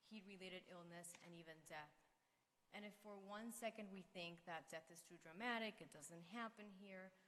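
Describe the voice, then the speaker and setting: A woman's voice: on the low side, a bit bright. A woman, a parent, giving an earnest, persuasive prepared statement to a school committee. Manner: earnest, persuasive, rises in emphasis. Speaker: parent speaking at public comment. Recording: reverberant meeting room with faint hiss.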